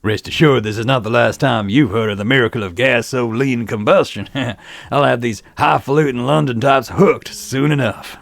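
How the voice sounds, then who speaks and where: Man doing a brash gravelly Texan showman. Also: medium pitch, normal speed, no echo, natural voice. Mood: boastful. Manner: loud, flirtatious salesman patter. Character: brash boastful Texan gentleman entrepreneur.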